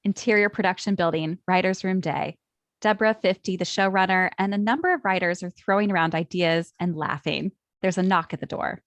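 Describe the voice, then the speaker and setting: A woman's voice: on the low side, fairly quick, no echo. A woman narrating script stage directions in a matter-of-fact way.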